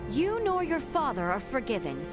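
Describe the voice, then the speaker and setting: Casting: proud young game princess, high and animated voice, formal commanding delivery. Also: female voice, normal speed. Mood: stern, commanding. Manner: formal, declarative, archaic courtly phrasing. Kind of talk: dramatic video game voice acting. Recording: orchestral game music behind. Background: loud background music.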